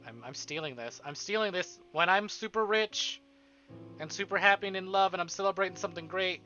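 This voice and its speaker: A man live-streaming a visual novel, reading it aloud and breaking into casual, expressive asides with swearing. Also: high-pitched, fairly quick, lo-fi sound. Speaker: game streamer. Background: game music.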